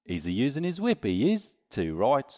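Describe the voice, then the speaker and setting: Woman playing a cheeky stable lad, gruff and low, lively in pitch, muffled dull audio. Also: unhurried, heavily acted. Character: cheeky young male London stable hand.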